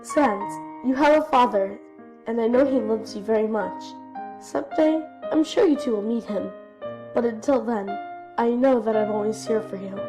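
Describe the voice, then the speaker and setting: Woman as high-voiced, comforting monster mother. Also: normal speed, natural voice. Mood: tender, reassuring. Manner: tender, reassuring. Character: loving monster skeleton mother comforting her child. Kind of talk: animated comic dub acting. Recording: music bed under the voice. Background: piano and keyboard music.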